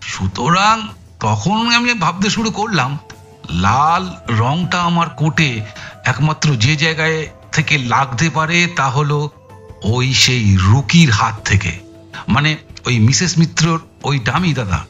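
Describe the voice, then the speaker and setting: A bright-voiced, weary Indian detective. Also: male voice, a little high, expressive, slow pace, highs cut off, soft background music, full character voice. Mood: intent, explaining. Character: exhausted, frustrated male police detective recounting a case. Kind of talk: dramatized audio thriller acting.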